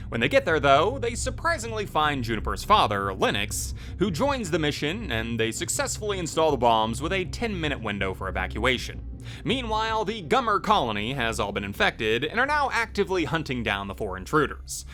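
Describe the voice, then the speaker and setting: A man's voice: medium pitch, pushed and bright. A man hosting a video, delivering scripted review narration into a close voice-over mic. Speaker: host, game reviewer. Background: faint music.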